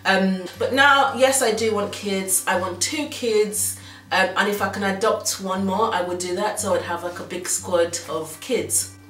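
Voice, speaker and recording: A woman's voice: medium pitch, measured pace, a bit bright, faint music behind. A woman in her thirties from Nigeria with a slight Yoruba accent, chatting casually to camera as a fashion blogger, rambling with frequent ums and restarts. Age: 30s. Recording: roomy sound from far off.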